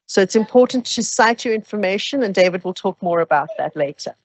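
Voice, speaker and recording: A woman explaining academic procedures to new students at an orientation, steady and practical with some fillers. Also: medium pitch, brisk, slight background noise, rough recording. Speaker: orientation presenter.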